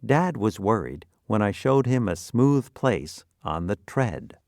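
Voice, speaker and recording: Slow, gravelly man reading word definitions. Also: lowish voice, very animated, a little mellow, dry recording, studio quality. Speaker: vocabulary narrator. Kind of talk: scripted dictionary-style lesson narration.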